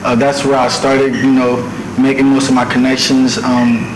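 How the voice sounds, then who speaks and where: A man's voice: mid-range voice, flat delivery, normal speed. Laid-back American-accented man, roomy studio. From Nigeria. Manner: laid-back slangy talk, often ending with "man". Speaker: musician guest. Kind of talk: casual interview chat. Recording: roomy studio with background noise.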